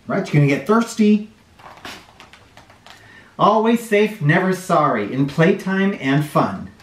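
A middle-aged man hosting a children's read-aloud, reading a storybook expressively with drawn-out phrasing. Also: high voice, lively intonation. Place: small bright living room or playroom, indoors. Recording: roomy indoor echo.

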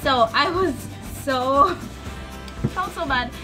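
A woman's voice: sits high, very slow, over music. A young woman with a foreign accent, chatting and telling stories in a casual cooking vlog from her small home kitchen. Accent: foreign, non-native English. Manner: chatty storytelling, animated. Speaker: vlogger. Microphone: phone camera on the counter.

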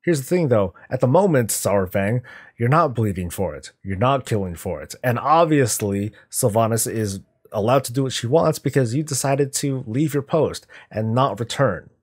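A man in his thirties hosting a reaction video, giving off-the-cuff analysis in a deliberate, emphatic way. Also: medium pitch, lively intonation, darkish tone, slightly rough.

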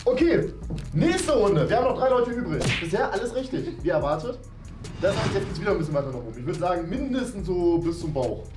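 A young man with a fairly high, very animated voice hosts a casual game among friends. He teases playfully as he talks to viewers. The room is echoey, with music behind him. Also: quick pace. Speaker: host of a YouTube challenge show. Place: small indoor studio room.

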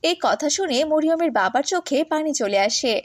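A woman's voice: high voice, lively intonation, a little fast, no echo, studio quality. A woman reading scripted narration for a children's moral story.

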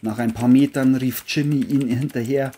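A man narrates a scripted crime audio story. His voice is mid-pitched for the reading and has a husky edge. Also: steady tone, normal speed, warm tone.

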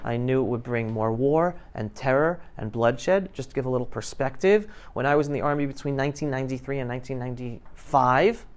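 Male guest speaker, around 50, smooth clear voice, informal classroom talk, emphatic and interactive. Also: mid-range voice, a little fast, few pauses. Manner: emphatic, questioning the audience. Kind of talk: informal talk to high school students. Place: classroom, indoors. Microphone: lapel mic.

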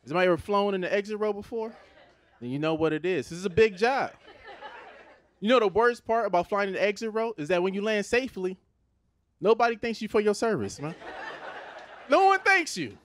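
A man from Los Angeles in his thirties with an American accent, performing a stand-up comedy set on a theater stage into a handheld mic, animated and conversational. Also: sits high, a little fast, pauses often. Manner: animated, conversational, switches into put-on Nigerian voices for his parents.

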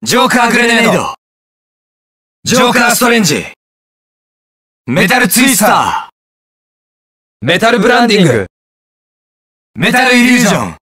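Animated, cerebral young detective hero. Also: male voice, high voice, moderate pace, long pauses, husky, no room sound, clean studio sound, heavily acted. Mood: shouting attack calls. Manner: calm, precise, smug and curious delivery. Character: cool, inquisitive young male detective superhero, cerebral and teasing. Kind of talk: Japanese video game voice acting, short lines.

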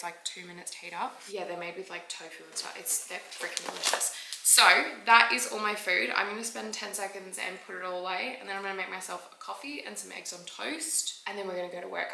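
A woman's voice: medium pitch, vocal fry, sharp tone. A woman in her twenties with an Australian accent, chatting casually and informally to camera in a rambling home vlog. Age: 20s. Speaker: vlogger, fitness trainer. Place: indoors at home, kitchen and other rooms. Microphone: camera mic, from arm's length to a few steps away. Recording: echoey room with faint noise and clicks.

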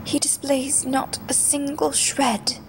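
A woman playing a frightened, timid character in a comic book drama, breathy, hushed and hesitant. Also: sits high, little pitch movement, takes it slowly, background noise. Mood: fearful. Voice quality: breathy, whispering. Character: frightened, timid woman confiding her unease.

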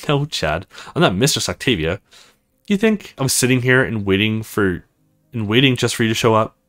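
A man's voice: mid-range voice, sing-song, normal speed, fairly bright tone, faint music behind. Man reading as stern, teasing military woman. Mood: commanding.

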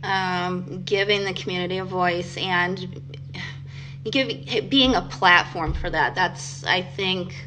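A woman's voice: lowish voice, takes it slowly, background noise. A woman hosting a live stream interview, friendly and addressing her chat.

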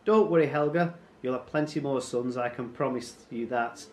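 A man's voice: mid-range voice, moderate pace, a little mellow, echoey room, slight background noise, lightly acted. A man voicing a cruel Viking warlord in a storybook, taunting and boastful with false friendliness. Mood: menacing, amused. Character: cruel, mocking Viking warlord, swaggering villain.

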